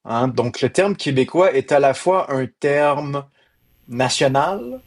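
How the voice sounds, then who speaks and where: A man's voice: medium pitch, lively intonation, slow pace. Male current affairs panelist, middle register, explanatory and self-correcting. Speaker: radio panelist, former schoolteacher. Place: radio studio.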